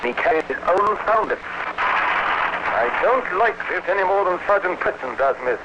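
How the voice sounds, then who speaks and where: Sceptical radio-drama inspector, dry and deliberate, higher male register, noisy old recording. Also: lively intonation, muffled recording. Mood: dry, grave. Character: shrewd, dry British police inspector, brisk and sceptical.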